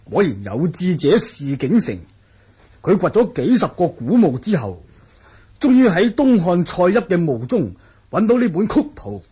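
Wily, husky Cantonese martial-world wanderer. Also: male voice, high voice, sing-song, measured pace, dark tone, exaggerated voice. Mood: storytelling, sly. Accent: Hong Kong Cantonese. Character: shrewd, jovial, smooth-talking male martial-world wanderer. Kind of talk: 1980 Cantonese radio drama acting.

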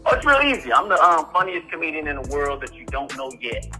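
Very bright, forceful man in his thirties. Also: a little high, very animated, fast, soft background music. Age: 30s. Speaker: stand-up comedian being prank called. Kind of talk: casual phone interview. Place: plain room, on a video call. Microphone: phone on a video call.

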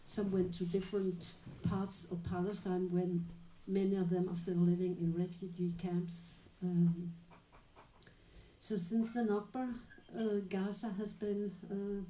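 A woman moderating a live panel talk, introducing guests and asking questions in a measured, explanatory way with many pauses. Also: medium pitch, level tone, mellow. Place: small dim venue with live audience. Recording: echoey room recording.

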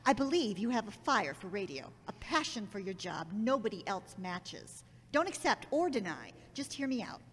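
Casting: businesslike female boss acted by a woman, animated delivery, echoey recording with some noise. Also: medium pitch, normal speed, few pauses, close to an everyday voice. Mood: brisk, firm. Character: brisk, businesslike woman boss, nearing retirement. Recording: echoey hall reverb, background noise.

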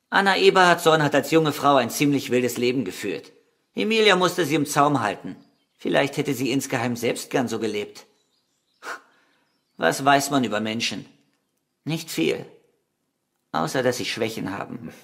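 An actor playing a cynical killer under questioning in a radio crime drama, smug, condescending and calculating. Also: male voice, medium pitch, animated, a little slow, creaky, long pauses, a bit bright, exaggerated voice.

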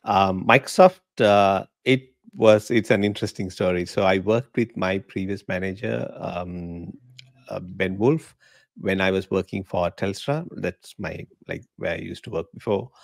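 Middle-aged man from Sri Lanka, low and dry, easygoing and laughing podcast guest. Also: measured pace, vocal fry, no echo. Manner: relaxed, chatty, laughs often. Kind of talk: casual interview chat. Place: home living room. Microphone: podcast mic in frame, video call.

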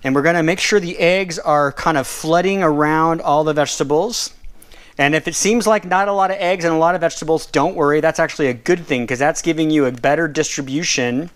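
Smooth-voiced man demonstrating a recipe. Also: fairly high pitch. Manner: relaxed, explanatory, with fillers and self-corrections. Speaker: cooking and nutrition instructor. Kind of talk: casual cooking demonstration. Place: home kitchen at a gas stove, seen from overhead.

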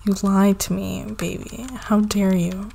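A woman playing a needy, affectionate young girlfriend in an intimate ASMR roleplay, with a soft, halting, pleading delivery. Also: medium pitch, little pitch movement, vocal fry, slight background noise. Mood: wistful, mock hurt.

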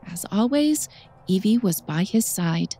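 A woman's voice: mid-range voice, expressive, a little slow, dark tone, faint music behind. A woman narrating a story for an audiobook in a scripted style.

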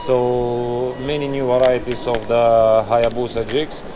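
A man's voice: medium pitch, monotone, measured pace, crowd noise. A man in his thirties hosting a walkaround review of a fishing expo, talking with many "uh"s and restarts, recorded on a chest-mounted camera in a large indoor exhibition hall. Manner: filler-heavy, frequent "uh" and restarts. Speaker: fishing channel host. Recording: noisy expo hall ambience. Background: hall ambience and air conditioning hum.

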